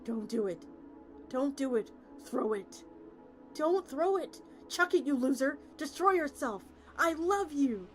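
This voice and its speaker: A woman voicing an excitable, sweary football player in a dramatic story reading, frantic and exclamatory. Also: sits high, slow, faint music behind, voice pushed up. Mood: frantic pleading. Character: excitable, foul-mouthed football player and play commentator.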